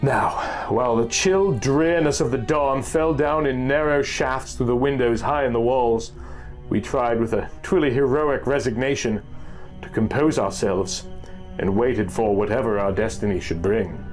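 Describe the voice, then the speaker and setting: A man reading a first-person pulp horror story as a narrator, in a formal, measured, dramatic literary style. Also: mid-range voice. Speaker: audiobook narrator. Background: music.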